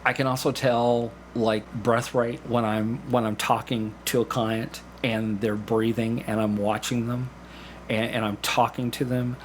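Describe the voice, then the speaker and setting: A man's voice: medium pitch, flat delivery, a little slow. Man explaining things, noticeable background noise. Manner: explanatory, acts out examples in quoted dialogue. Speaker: podcast guest, clinical hypnotherapist and community actor. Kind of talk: conversational interview explanation.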